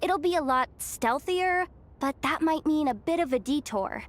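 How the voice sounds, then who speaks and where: High-voiced, cocky young treasure-hunting thief. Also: female voice, expressive, normal speed, a little hiss or hum. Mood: breezy scheming. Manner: breezy, boastful, theatrical. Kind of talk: video game character acting.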